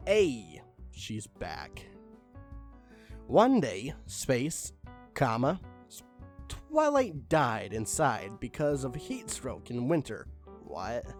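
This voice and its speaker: A man reading a badly written story aloud with mocking deadpan asides and spoken punctuation, over faint music. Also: medium pitch, drawn-out words, gravelly, long pauses. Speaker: reader of online stories. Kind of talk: comedic dramatic reading with commentary.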